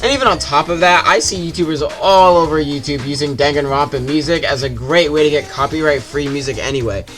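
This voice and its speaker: A man's voice: a little high, fairly quick, few pauses, music in the background. A man giving a casual, jokey, scripted video game review as a YouTuber, with some swearing.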